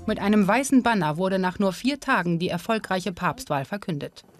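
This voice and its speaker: A woman reads documentary narration in a measured, even way. Clear background music plays under her voice throughout. Her pitch sits in a middle range. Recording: narration over loud music.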